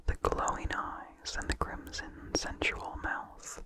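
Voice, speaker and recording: A person reading a vintage horror story as ASMR in a soft, hushed, deliberate whisper with no voice behind it. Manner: slow, hushed, deliberate delivery. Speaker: narrator.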